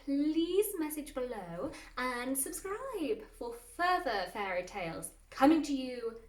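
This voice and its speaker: High-voiced woman storyteller in a roomy space. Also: big pitch swings, slow. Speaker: storyteller and host of a fairy tale series. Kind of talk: scripted storybook narration. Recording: roomy, distant mic with music underneath. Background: classical music.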